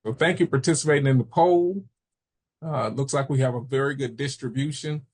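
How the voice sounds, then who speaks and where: An American man from Chicago giving an informal training webinar, explaining haltingly with personal asides. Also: mid-range voice, darkish tone. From Chicago, United States. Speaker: webinar presenter, substance misuse prevention trainer.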